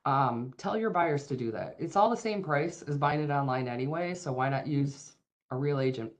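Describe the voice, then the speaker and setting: A woman's voice: a bass voice, moderate pace. A woman who works as an insurance broker, wrapping up her webinar talk in a casual, breezy way over a video call. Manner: casual and breezy, addressing the audience directly. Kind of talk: webinar presentation.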